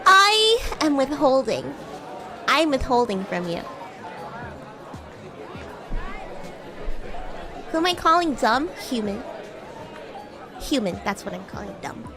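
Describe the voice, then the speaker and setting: A woman's voice: high voice, big pitch swings, unhurried, a crowd in the background, noisy background. A woman voicing a bratty, flustered young female demon classmate in improvised livestream roleplay, teasing and stammering as she acts haughty and defensive. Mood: bossy, teasing. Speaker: VTuber streamer.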